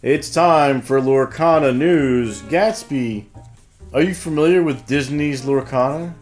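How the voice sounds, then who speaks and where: Male co-host, mid-pitched voice, casual scripted store announcements and banter, music behind. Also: takes it slowly, darkish tone. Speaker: co-host of a store news show, announcing events.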